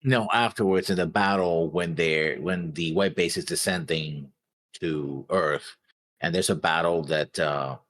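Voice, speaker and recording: A man's voice: low voice, measured pace, fairly bright tone. A man co-hosting a show, chatting casually about a fandom. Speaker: reaction show co-host. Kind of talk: casual fan discussion.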